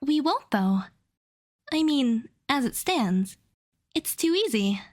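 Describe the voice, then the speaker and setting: Defiant young woman, played by an actress, high lively voice, confident and methodical, audio drama courtroom challenger. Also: takes it slowly, full character voice. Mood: defiant, reasoning. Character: defiant, sharp-minded young woman arguing in a trial.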